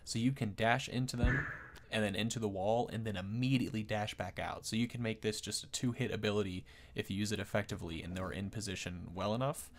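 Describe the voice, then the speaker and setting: A man's voice: on the low side, fairly even intonation, quick pace, slight background noise. A man hosting a gaming video, explaining things in a casual, unscripted, rambling conversational way. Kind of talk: casual unscripted game commentary.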